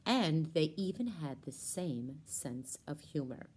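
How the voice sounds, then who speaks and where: A woman's voice: sits low, animated, takes it slowly, a little mellow, slightly rough. A woman storyteller narrating a children's puppet show.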